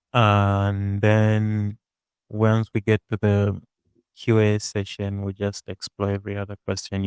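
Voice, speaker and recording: A man hosting an online panel, speaking in a halting way with many fillers and restarts. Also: fairly low pitch, darkish tone, smooth, no room sound. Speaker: host.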